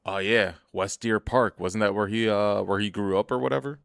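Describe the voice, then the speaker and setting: A man's voice: lowish voice, normal speed, vocal fry, fairly bright tone, clean tone, dry recording, clean studio sound. A young man streaming a game, rambling and swearing as he chats to viewers about himself. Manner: rambling, profane, chatty, often laughs. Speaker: gaming streamer. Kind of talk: casual gameplay commentary.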